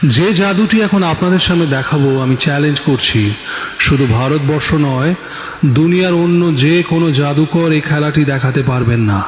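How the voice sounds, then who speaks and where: A man's voice: fairly high pitch, moderate pace, roomy sound, in a large hall, slight background noise, natural voice. A man acting a boastful, commanding stage magician in a theatrical, declamatory way for an audio drama. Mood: boastful, dramatic. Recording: background music.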